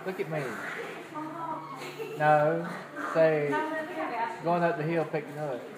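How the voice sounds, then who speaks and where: A woman's voice: low-pitched, level tone, takes it slowly, heavy background noise. A woman filming her kids on a handheld camera in a busy restaurant, giving short bossy commands in a casual home video. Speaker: parent filming children. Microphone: handheld camera held by the speaker. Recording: echoey room with loud clatter.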